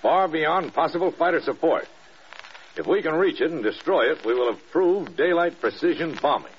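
An American man playing an authoritative, shrewd senior general in a mid-century radio drama, measured and emphatic. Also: fairly high pitch, lively intonation, natural voice. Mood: urgent, grave. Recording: muffled vintage radio with faint noise.

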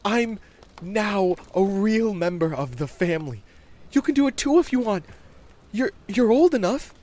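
Man putting on an eager high-voiced young boy. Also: animated, moderate pace. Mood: proud, eager. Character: eager, proud young boy raised in a cult.

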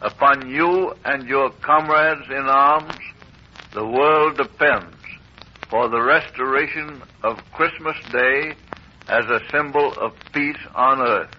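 A man's voice: medium pitch, slight background noise. A man giving a formal, solemn wartime address on an old radio broadcast. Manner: solemn, measured. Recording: dull 1940s radio broadcast.

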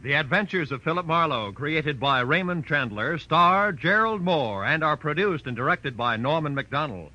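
A man's voice: fairly high pitch, animated, normal speed, few pauses, sharp tone, slight background noise. A man announcing for a radio network in a formal, emphatic 1940s style. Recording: dull vintage radio recording, faint music.

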